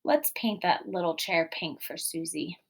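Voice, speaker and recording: A woman voicing a sulky, jealous young boy in a storybook, drawing out grumbles and moving between mutters, whispers and shouts. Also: lowish voice, a little slow, very noisy, natural voice. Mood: determined.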